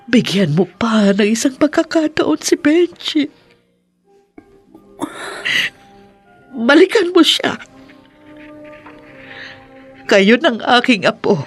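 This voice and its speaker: An actress playing a possessive, remorseful older mother in a radio drama, pleading slowly and haltingly with deep emotion. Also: slightly rough, exaggerated voice. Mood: anguished pleading. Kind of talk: Filipino radio drama acting.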